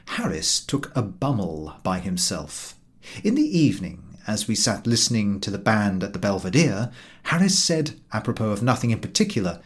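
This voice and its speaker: A man reading a literary travel narrative aloud as an audiobook narrator. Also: on the low side. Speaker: audiobook reader.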